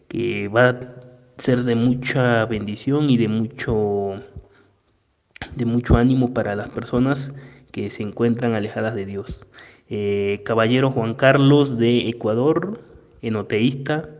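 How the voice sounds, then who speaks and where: A man's voice: medium pitch, a little mellow, in a large hall. A man moderating a religious debate in a formal, courteous manner, addressing the participants and setting out the rules. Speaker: debate moderator and channel host.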